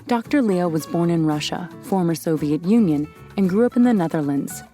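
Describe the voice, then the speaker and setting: A woman's voice: lowish voice, expressive, normal speed, dark tone, soft background music. A woman reading a formal scripted introduction of a guest. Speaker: narrator of introduction. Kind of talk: scripted narration.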